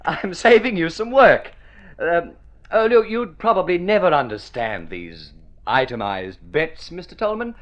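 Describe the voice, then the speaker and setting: An actor playing a breezy, impatient young heir in an old radio drama, offhand and evasive, stammering when flustered. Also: male voice, a little high, very animated, measured pace. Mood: evasive, falsely cheerful. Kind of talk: golden-age radio drama acting. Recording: muffled vintage radio recording.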